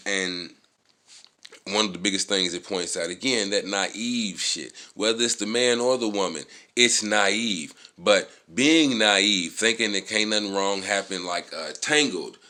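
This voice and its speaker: A man's voice: on the low side, bright, forceful tone. An American man giving a casual motivational vlog talk, emphatic and slangy, swearing freely and repeating key words. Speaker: vlogger.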